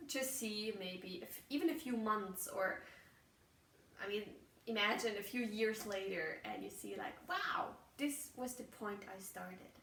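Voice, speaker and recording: A woman's voice: mid-range voice, a little hiss or hum. Woman, German accent, native German speaker, reflective podcast monologue, searching for words, distant phone recording, roomy echo. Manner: musing, searching for words, frequent restarts. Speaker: podcast host. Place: outdoors while walking. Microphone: phone voice memo held away. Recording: roomy, distant phone memo with faint ambience.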